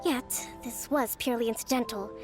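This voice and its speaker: An actress voicing a young female island military leader in a video game, composed and gentle but firm, speaking in a measured, formal way. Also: on the high side, steady tone, lightly acted. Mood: firm, authoritative. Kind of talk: scripted video game character acting. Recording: music behind the voice.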